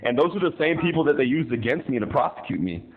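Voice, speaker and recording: A man's voice: on the high side, animated, fast talker, reverberant. An agreeable man chatting casually on a tinny prison phone, often repeating his phrases.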